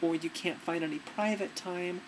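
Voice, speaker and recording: A young woman with a fairly deep voice giving earnest, relaxed advice to her webcam in a dim room. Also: moderate pace, noisy background. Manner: earnest, relaxed, demonstrating as she talks. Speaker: vlogger. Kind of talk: casual advice vlog.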